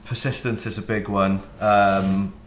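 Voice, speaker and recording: A Welsh man in his thirties, a wedding videographer, answering interview questions in a relaxed way with frequent ums. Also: on the low side, a little slow, reverberant, a little hiss or hum. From Wales. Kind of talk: casual interview. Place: home studio office, indoors.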